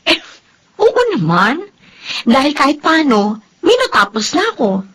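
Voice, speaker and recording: Lively high-voiced Filipina seamstress. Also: female voice, measured pace, husky, full character voice. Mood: earnest, content. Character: Aida, modest, sensible, pious seamstress friend. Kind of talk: Filipino radio drama acting.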